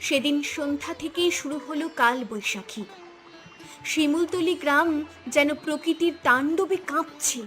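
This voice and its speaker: A woman's voice: high voice, moderate pace, a bit bright, faint music behind. A woman giving dramatic scripted narration as the narrator of a story.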